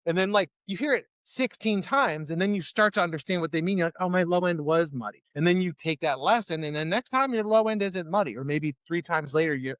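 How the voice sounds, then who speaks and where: Male musician from Ohio, slightly high, dry close sound, casual rambling storyteller. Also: fairly quick, hardly any pauses, no room sound. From Ohio, USA. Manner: rambling, many restarts and fillers, animated when quoting himself. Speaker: musician guest. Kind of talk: casual conversation.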